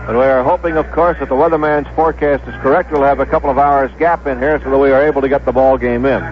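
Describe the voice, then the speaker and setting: An American man calling baseball on 1970s radio in a measured announcing manner, repeating names for clarity. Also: medium pitch, steady tone. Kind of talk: 1970s radio sports commentary, pregame lineups. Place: stadium broadcast booth. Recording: dull lo-fi vintage radio broadcast.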